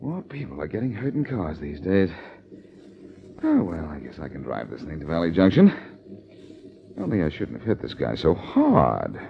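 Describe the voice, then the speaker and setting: An American actor playing a cocky, wisecracking amateur detective in 1940s radio style, flippant and quick-witted. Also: male voice, low voice, wildly swooping pitch, gravelly, noisy background, full character voice. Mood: wry. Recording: dull, lo-fi old radio recording.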